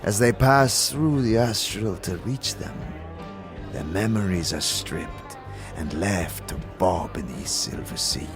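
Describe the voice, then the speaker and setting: A man playing a sly, menacing Githyanki warrior in a fantasy audio drama, speaking in deliberate, formal, theatrical phrases. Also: low-pitched. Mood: mysterious, bargaining. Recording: music playing behind the voice.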